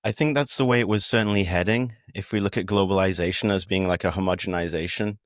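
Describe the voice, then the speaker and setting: A man's voice: low-pitched, fairly even intonation, quick pace, no echo, studio quality. A man from the United Kingdom, a podcast guest, talking in a reflective, casual way with fillers and restarts. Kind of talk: casual conversation.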